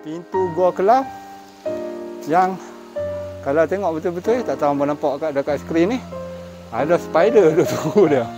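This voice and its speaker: A man's voice: fairly high pitch, animated, normal speed, music in the background. A middle-aged man with a northern Malaysian Malay accent chatting casually through a face mask on a walking vlog outdoors. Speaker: vlogger. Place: outdoors on forest park trails by a cave. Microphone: camera at arm's length.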